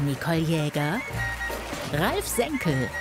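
A woman's voice: sits low, sing-song, slow pace. A woman announcing upcoming TV programmes in a punchy trailer voice-over. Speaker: promo announcer. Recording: voice-over over loud music.

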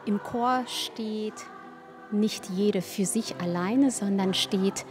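A woman gives an interview soundbite at an unhurried pace. Her pitch is medium, and loud music runs behind her words. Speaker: interviewee from a choir.

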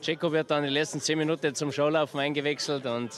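A man's voice: on the high side, fairly even intonation, fast talker, a bit bright, faint music behind. A man from Upper Bavaria with a Bavarian accent, chatting casually as a football fan in a street interview.

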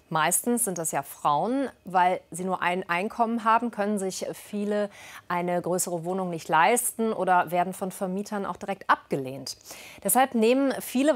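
A woman presenting local news stories in a clear, brisk manner. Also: mid-range voice. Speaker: news anchor.

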